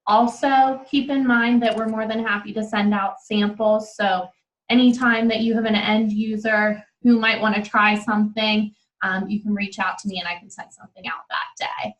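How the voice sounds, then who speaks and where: A woman's voice: medium pitch, flat delivery, moderate pace, low-quality recording. A woman speaking as an inside sales representative, in an informal business presentation on a conference call.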